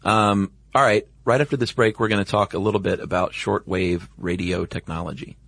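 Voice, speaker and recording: A man's voice: on the low side, fairly even intonation, brisk, gravelly, a bit bright, no echo. A man co-hosting a podcast in an informal, conversational way. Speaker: podcast co-host. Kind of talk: casual podcast chat.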